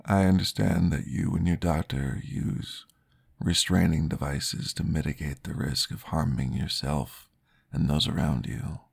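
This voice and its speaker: A man playing a gravelly, formal vampire therapist. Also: rumbling low, fairly even intonation, exaggerated voice. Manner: measured, formal, reassuring. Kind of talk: ASMR roleplay.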